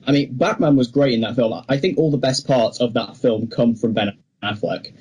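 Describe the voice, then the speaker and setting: A man's voice: medium pitch, normal speed, darkish tone, slightly rough, muffled recording. A man co-hosting a podcast, giving his opinions on films in casual, informal conversation. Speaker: podcast co-host. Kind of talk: casual film discussion.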